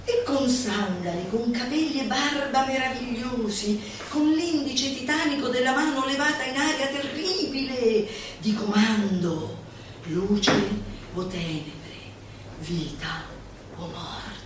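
A woman giving a theatrical recitation, declamatory and playful, with dramatic pauses and asides to her listeners. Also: on the high side, a little hiss or hum. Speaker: solo stage performer. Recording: very echoey live hall, distant mic, music behind.

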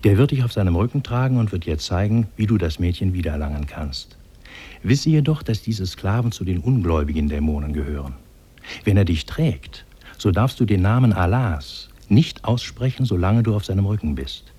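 An actor playing a benevolent demon in human form, speaking solemnly and formally in a 1950s German radio drama. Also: male voice, deep voice, expressive, moderate pace, dark tone, slightly rough. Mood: grave, instructing. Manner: solemn, formal, archaic diction.

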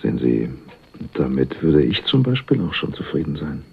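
An actor playing a sly, cynical go-between with a wry, teasing way of talking in a German radio crime drama. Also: male voice, rumbling low, big pitch swings, normal speed, vocal fry, slightly rough, full character voice. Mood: wry, flirtatious. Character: cynical, sly male go-between, cheekily flirtatious. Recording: muffled, phone-like 1980s radio recording.

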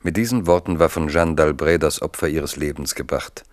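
A man narrating a 1970s radio drama in a grave, measured storytelling voice. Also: extremely low pitch, steady tone, no room sound, clean studio sound.

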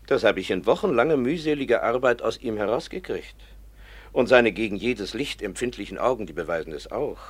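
An actor playing a learned, caring 19th-century professor in a radio play, patient and explanatory. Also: male voice, lowish voice, lively intonation, brisk, slightly rough. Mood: grave.

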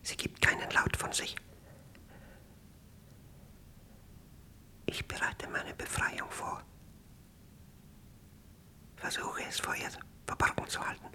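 An actor playing an obsessed, paranoid man in a radio drama monologue, speaking intensely in halting, clipped fragments as he battles a machine he fears. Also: bright, forceful tone, whispered. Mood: secretive whispering.

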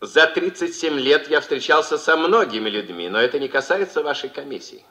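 A man's voice: fairly high pitch, expressive, bright, forceful tone, full character voice. An actor playing a principled, defiant American war veteran in his late thirties, speaking firmly and oratorically in a Soviet radio drama. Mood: bold, guarded. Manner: measured, firm, oratorical.

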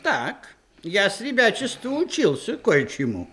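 An actor playing a self-important would-be scholar in a radio verse comedy, pedantic, officious and declaiming. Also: male voice, sits high, huge pitch swings, measured pace, a bit bright, heavily acted. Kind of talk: theatrical period verse comedy, radio play.

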